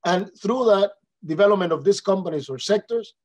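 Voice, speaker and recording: A man's voice: sits high, animated, a little fast, a little mellow. A man from the Dominican Republic with a Spanish accent, a native Spanish speaker giving a polite webinar presentation with frequent fillers and restarts. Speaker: panelist representing an international organization.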